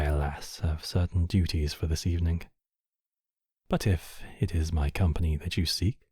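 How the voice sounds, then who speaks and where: Demon king for intimate ASMR roleplay male actor: cavernously low, husky breathy voice, possessive and courtly, threatening to others yet gently sheltering, measured delivery. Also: studio quality, heavily acted. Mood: courteous, inviting. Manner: formal, courtly, measured phrasing with soft breathy drops. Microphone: close microphone, dry.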